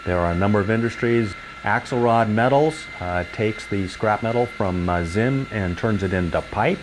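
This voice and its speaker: A man's voice: lowish voice, moderate pace, background noise. An older man giving an informal, explanatory tour of a model railroad layout as its hobbyist guide.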